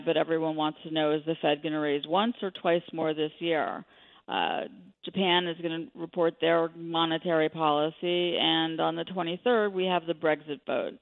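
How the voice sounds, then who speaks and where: A woman's voice: low-pitched, gravelly. A woman speaking as an expert guest in a radio interview, giving commentary on the economy. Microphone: telephone. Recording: narrow, tinny phone line.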